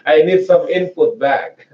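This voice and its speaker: A man's voice: fairly high pitch, takes it slowly. Indonesian-accented man lecturing in an echoey room. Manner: chatty, hesitant restarts, frequent laughs. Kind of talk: research seminar lecture. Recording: echoey, distant, muffled room mic.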